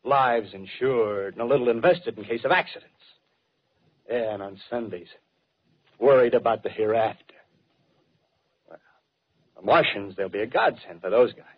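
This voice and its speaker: A dull, muffled old radio recording of a fanatical American soldier. His voice is husky and his talk is rough, clipped and insistent. He is a scheming survivor in a 1930s radio play. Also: lowish voice, moderate pace, long pauses. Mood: fierce, insistent. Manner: colloquial tough-guy talk, clipped phrases, insistent.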